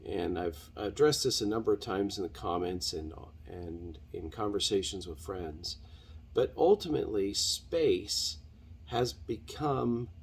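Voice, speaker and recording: A man hosting a podcast, giving an unscripted solo monologue in halting, deliberate, earnest phrasing. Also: lowish voice, vocal fry, a little mellow, slightly rough. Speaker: podcast host.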